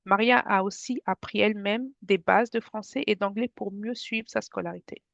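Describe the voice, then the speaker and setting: A woman's voice: mid-range voice, fairly even intonation, moderate pace, creaky, a bit bright, dry recording. Woman running a parents webinar over video call, chatty and direct, dull dry sound. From France. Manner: friendly, conversational, addresses the audience directly. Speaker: workshop presenter. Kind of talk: informal webinar presentation to parents.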